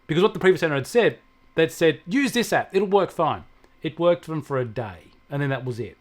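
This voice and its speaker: Tech co-host, male, slightly high voice, explanatory and anecdotal in casual discussion. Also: lively intonation, a little fast. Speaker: technology expert co-host.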